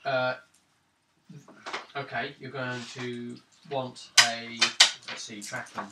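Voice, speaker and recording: A man running a tabletop role-playing game as game master, describing scenes and calling for rolls in halting phrases. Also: medium pitch, flat delivery. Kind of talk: improvised tabletop game narration and refereeing. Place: indoors around a gaming table. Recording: roomy, distant tabletop recording with background noise.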